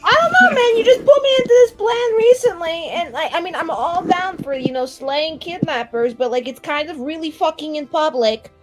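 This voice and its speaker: A young rebel mage played by a woman speaks in a fairly high voice. She is informal and slangy, willing but uneasy, feeling her way through improvised tabletop game dialogue. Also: normal speed, hardly any pauses, music in the background, close to an everyday voice, rough recording. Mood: nervous, reluctant. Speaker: tabletop role-playing player. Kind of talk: casual improvised in-character actual play.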